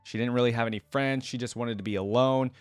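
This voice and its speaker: A man's voice: mid-range voice, fairly even intonation, quick pace, smooth, no echo. A man hosting a reaction video, giving casual, conversational commentary straight to his viewers. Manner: informal, conversational, addresses viewers directly.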